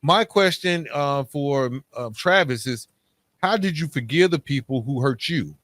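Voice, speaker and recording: A man's voice: medium pitch, lively intonation, measured pace, fairly bright tone, no room sound. A man hosting a podcast interview in a casual, affirming, conversational way, adding reflective commentary. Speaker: podcast host.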